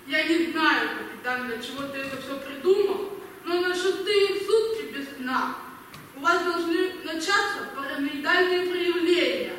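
A woman's voice: high-pitched, lively intonation, unhurried, fairly bright tone. A woman in an amateur audio play acting a sarcastic, brusque army psychiatrist with an emphatic way of talking. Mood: clipped, sceptical. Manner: sardonic, emphatic. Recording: echoey hall, distant mic, faint noise.

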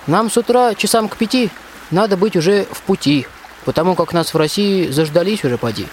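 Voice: medium pitch, expressive, brisk, natural voice. A performer playing a plucky, friendly soldier hero in a rhymed radio play, declaiming heartily. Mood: hearty, persuasive. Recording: faint watery ambient noise.

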